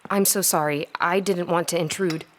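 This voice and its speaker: A woman's voice: lowish voice, steady tone, moderate pace, a little hiss or hum, close to an everyday voice. An actress playing a polite but proud young woman scholar in an audio drama, well-mannered with a dry wit.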